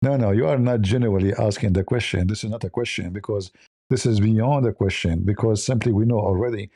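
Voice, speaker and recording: A man's voice: fairly low pitch, fairly quick, dark tone, no echo, clean studio sound. A man who speaks English as a second language, giving combative, informal religious commentary full of repeated rhetorical questions. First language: not English.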